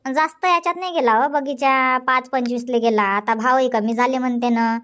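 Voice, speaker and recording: A woman's voice: sits high, steady tone, normal speed, fairly bright tone. A woman voicing a bossy, chatty village farm woman in a cartoon comedy, insistent and scolding, with a Varhadi Marathi accent from Vidarbha. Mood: chatty, grumbling. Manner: insistent, scolding, rhetorical questioning.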